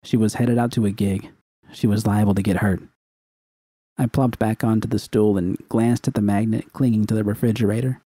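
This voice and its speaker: Husky, mellow male story narrator. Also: fairly low pitch, steady tone, moderate pace, no echo. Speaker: fan fiction audiobook narrator. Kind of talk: dramatic first-person scripted narration.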